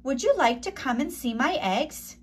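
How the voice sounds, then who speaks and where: A woman voicing a gentle, downhearted mother hen in a children's storybook, plaintive and sighing. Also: high voice, animated, normal speed, breathy edge, pitched above the performer's own voice. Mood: hopeful, inviting.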